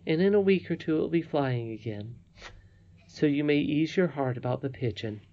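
A man voicing a high, gentle old storybook woman. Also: normal speed, dull audio, lightly acted. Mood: tender, forgiving. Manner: gentle, softly admonishing. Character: kindly, mysterious withered old woman, gentle but firm. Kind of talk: storybook character reading.

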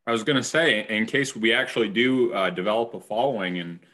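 Low bright-toned dry-humored man. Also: a little fast. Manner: dry self-deprecating humor. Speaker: podcast co-host. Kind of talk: casual podcast chat.

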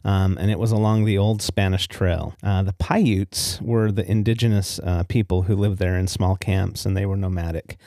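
A man's voice: sits low, fairly even intonation, normal speed, few pauses, dark tone, dry recording, clean studio sound. An American man hosting a podcast alone, telling stories in a casual, easygoing way and given to chuckling at his own remarks. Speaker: podcast host. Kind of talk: casual solo storytelling podcast.

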